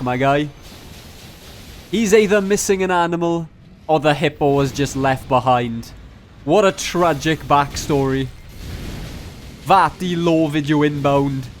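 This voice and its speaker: A man narrating a video game challenge run as scripted commentary, playful and mock-grandiose with jokey asides. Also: fairly high pitch.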